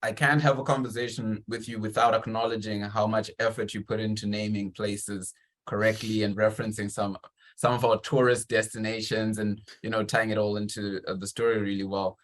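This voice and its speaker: A man's voice: on the low side, level tone, brisk. A man conducting a conversational interview, encouraging and appreciative, with long, wordy questions.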